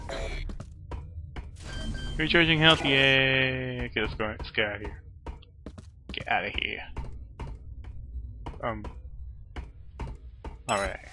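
A man's voice: medium pitch, slow pace, long pauses, sharp tone, a little hiss or hum. A man giving casual let's play commentary, playful and sarcastic, often in short muttered asides. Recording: game gunfire and ambience behind voice. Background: video game sound effects.

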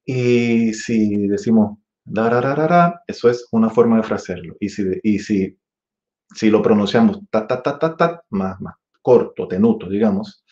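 Puerto Rican trumpet teacher, 40s, low-voiced, chatty; sings rhythms; muffled webcam audio. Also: male voice, measured pace, darkish tone. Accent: Puerto Rican Spanish. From Puerto Rico. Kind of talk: online masterclass. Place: room with green wall. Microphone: webcam at desk.

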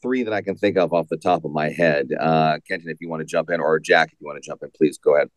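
A man giving a measured, explanatory answer as an interview guest, repeating points for emphasis. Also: extremely low pitch, steady tone, no echo. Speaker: audio drama writer and interview guest.

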